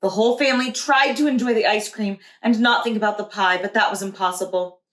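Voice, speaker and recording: A woman's voice: mid-range voice, a little fast, a bit bright. A woman, a preschool teacher, reading a children's storybook aloud. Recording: echoey room.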